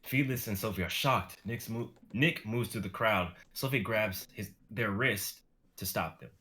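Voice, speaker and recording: A man's voice: lowish voice, measured pace, bright, forceful tone. A man reading the stage directions of a script aloud in a dramatic reading.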